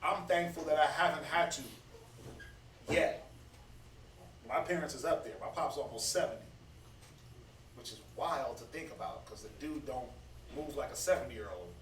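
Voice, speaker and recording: A man's voice: medium pitch, moderate pace, long pauses, a bit bright. A man in his thirties teaching scripture in a casual, preachy way, often stammering and repeating words. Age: 30s. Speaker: Bible study speaker. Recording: echoey room, distant mic, faint noise.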